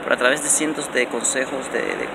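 A man in his forties giving motivational self-help advice in a casual vlog, talking with animated emphasis and lots of repetition, filmed on a phone held at arm's length in an underground station. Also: fairly quick, creaky. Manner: animated and repetitive, many restarts, stressing words with rising pitch. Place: indoor underground transit station, concrete walls. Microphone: phone camera held close at arm's length. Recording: noisy phone recording in a station.

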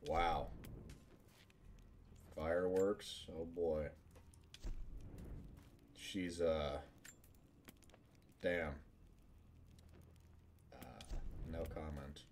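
Male game streamer, very low voice, casual and joking, swears freely, game ambience behind. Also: fairly even intonation, extremely slow, pauses often, darkish tone. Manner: joking, sweary, muttering to himself. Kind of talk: casual gameplay commentary. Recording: clear background game noise.

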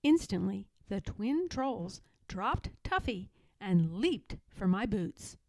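A woman reading a children's story aloud in an expressive, dramatic way, leaning on key words. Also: mid-range voice, slow pace, no room sound, studio quality. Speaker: storybook reader.

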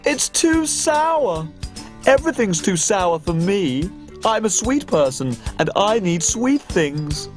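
A fussy storybook queen, as a narrator voices her complains in slow, drawn-out tones. Her voice swoops up and down. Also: mid-range voice, very animated, soft background music, pitched below the performer's own voice. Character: fussy sweet-toothed queen.

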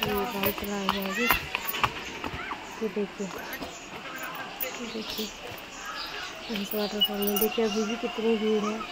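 A woman in her thirties from the Kumaon hills, speaking Hindi with a Kumaoni accent, chatting to viewers on a phone camera held at arm's length outdoors. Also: medium pitch. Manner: chatty, addresses viewers directly. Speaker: vlogger. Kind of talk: casual travel vlog narration. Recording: loud outdoor noise.

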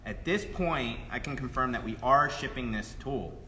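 Bright, forceful man presenting in a hall. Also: medium pitch, normal speed. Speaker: product presenter. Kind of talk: live product presentation. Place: trade show booth in a large indoor hall. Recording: roomy echoing hall with faint noise.

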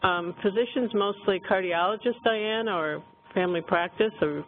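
A woman's voice: mid-range voice, normal speed. A woman from the United States calling into a webinar as a participant, speaking informally. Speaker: webinar participant asking questions. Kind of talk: informal follow-up question. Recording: tinny phone line, faint noise.

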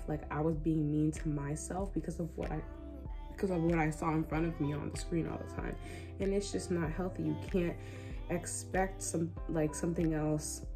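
A 20-year-old woman chatting casually and candidly in a personal vlog, rambling reflectively in a bedroom. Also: low-pitched. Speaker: vlogger. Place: small bedroom, indoors.

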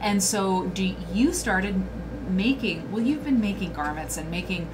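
A chatty woman hosts a casual livestream from a small room, talking straight to her viewers and reading their comments out loud. A webcam picks her up, with clear noise underneath her voice. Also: mid-range voice, expressive, a little slow. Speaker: host.

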